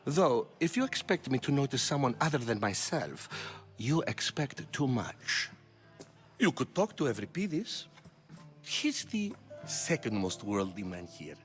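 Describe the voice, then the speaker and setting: A vain, theatrical playwright of ancient Greece in a video game, witty and self-mocking. His mid-pitched voice swoops expressively over faint music. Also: sing-song, a little slow. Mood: wry. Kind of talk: video game cutscene acting.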